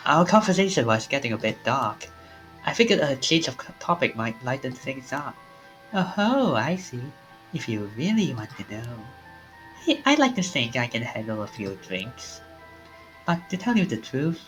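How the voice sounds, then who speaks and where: Animated streamer reading game dialogue. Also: mid-range voice, poor audio. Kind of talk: reading a visual novel aloud.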